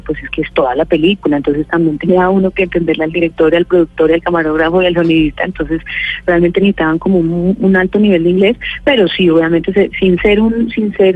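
A Colombian woman speaks over a tinny, phone-like line with a dry sound. She is an actress being interviewed, and she talks at length with frequent fillers. Her pace stays relaxed and thoughtful. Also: fairly low pitch, slightly rough, no room sound. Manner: thoughtful, many fillers and restarts.

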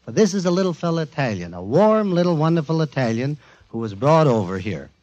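A man presenting a show to a studio audience in an emphatic, informal, jokey warm-up style, on a 1940s radio recording. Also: fairly high pitch, very animated, a little fast, lo-fi sound. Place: before a live studio audience.

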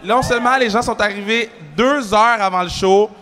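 A man co-hosting a live podcast with a Québécois French accent, excitable and loud, with frequent exclamations. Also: high-pitched, moderate pace, big-room sound. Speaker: podcast co-host. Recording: live show with crowd and music.

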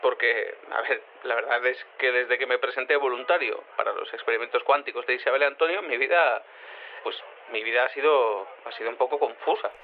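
A man's voice: mid-range voice, fairly quick. An actor playing a confused male cat turned human, hesitant and stammering, rambling as an interviewee in an audio drama over a telephone line. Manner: hesitant, stammering, self-contradicting. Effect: telephone filter. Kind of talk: audio fiction acting as an interviewee. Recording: tinny phone line with noise.

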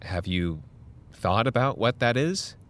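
A man playing a patient but firm high school counselor, measured and earnest, in a fiction podcast. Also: expressive, a bit bright, no echo. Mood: firm, concerned. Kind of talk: scripted audio drama dialogue.

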